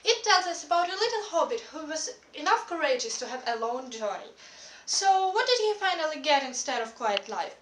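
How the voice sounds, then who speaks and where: A woman's voice: high-pitched, very animated, fairly quick, a bit bright. A teenage girl giving a rehearsed student presentation in an earnest way. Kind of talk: recited speech presentation. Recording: roomy, from a distance.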